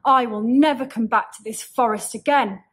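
Storybook thief leader, blustering and easily spooked, raised pitch, voiced by a woman. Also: moderate pace, a bit bright, breathy edge. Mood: panicked. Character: terrified male thief leader, gruff and blustering.

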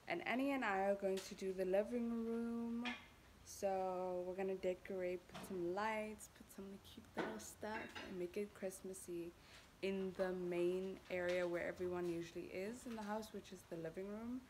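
A woman's voice: mid-range voice, slight background noise. A young woman vlogger chatting to her viewers in an upbeat, casual way while decorating her living room, filmed on a phone held at arm's length. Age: young adult. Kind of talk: casual vlog narration. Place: living room at home, indoors. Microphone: phone camera held at arm's length.

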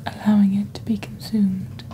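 A young woman playing a composed witch who presents a shopping channel in soft, breathy, half-whispered ASMR. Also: medium pitch, little pitch movement, lo-fi sound, close to an everyday voice. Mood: composed, mysterious. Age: young adult. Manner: slow, deliberate, measured. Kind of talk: ASMR roleplay, scripted product presentation.

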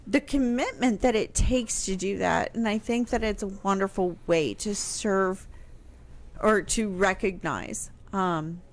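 An American woman in her thirties discussing history conversationally on radio into a close microphone, hesitant and pausing mid-thought. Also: medium pitch. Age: 30s. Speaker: radio history show guest expert. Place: small home room, indoors. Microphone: close boom-arm studio microphone with headset.

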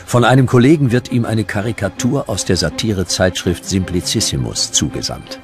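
A man's voice: on the low side, animated, normal speed. A man reading scripted narration for a historical documentary. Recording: music bed under the voice. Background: background music.

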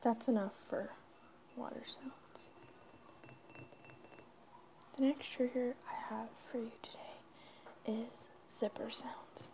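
A woman narrating ASMR in a breathy whisper, halting and informal, on a noisy home recording. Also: on the high side, steady tone. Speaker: ASMR video creator.

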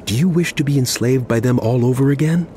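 An actor playing an earnest male apostle who pleads with and admonishes his flock, impassioned and deliberate, in a dramatized scripture reading. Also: medium pitch, warm tone, a little hiss or hum, natural voice. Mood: pleading, troubled. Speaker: actor in a dramatized audio Bible. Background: music.

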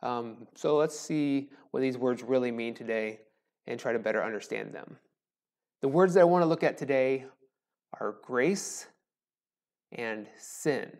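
A man's voice: medium pitch, a little mellow. A man in his forties, a pastor, giving a recorded sermon in a conversational, joking way from a small church sanctuary, speaking into a lapel mic. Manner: joking, conversational, with pauses and self-deprecating asides. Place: small church sanctuary with a wooden cross behind. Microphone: lapel mic, camera framed from the chest up.

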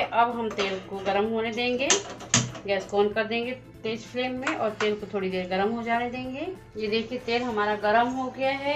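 A woman hosting a home cooking channel, calmly explaining each step of a recipe as she goes. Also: a little high. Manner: plain, instructive, walks through each step. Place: home kitchen at the stove. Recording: slightly dull lo-fi sound with faint music.

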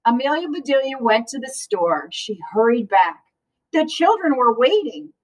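A woman's voice: a little high, very animated, normal speed. A middle-aged woman reads a children's picture book aloud expressively, with sing-song emphasis and chatty questions to young listeners. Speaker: teacher or librarian reading story time to pupils. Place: school library, indoors. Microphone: far camera across the room. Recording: distant microphone in a room.

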